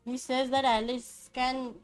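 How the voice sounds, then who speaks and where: A woman's voice: high voice, level tone, unhurried, faint music behind. A woman with an Indian accent giving casual live commentary as a game streamer. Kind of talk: casual live gaming commentary, code-switching.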